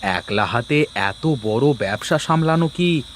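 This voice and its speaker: A man's voice: mid-range voice, animated, measured pace, slight background noise, lightly acted. A man playing an easygoing, reassuring husband in a cartoon family drama. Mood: soothing.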